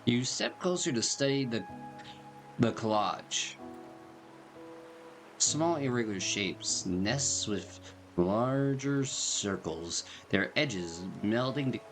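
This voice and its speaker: A man's voice: mid-range voice, animated, takes it slowly. A man giving casual, slangy, joking commentary as he plays through a video game. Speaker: gaming video creator. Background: game music.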